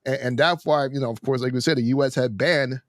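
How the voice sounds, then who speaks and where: A man's voice: mid-range voice, steady tone, quick pace, dry recording. Man explaining loosely, dry close sound. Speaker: panelist. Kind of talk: casual chat.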